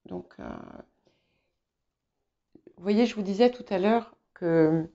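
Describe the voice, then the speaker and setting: Female tarot reader, English mother tongue, mellow creaky delivery, halting and reassuring. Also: medium pitch. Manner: hesitant, searching for words, reassuring. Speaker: tarot reader hosting guidance videos. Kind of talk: informal intuitive tarot reading to viewers. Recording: slightly dull, muffled sound.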